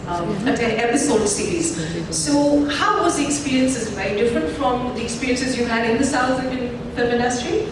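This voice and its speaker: A woman hosting a press conference, putting questions to the cast in an announcing manner. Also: a little high. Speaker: event host. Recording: reverberant hall, distant mic, noisy.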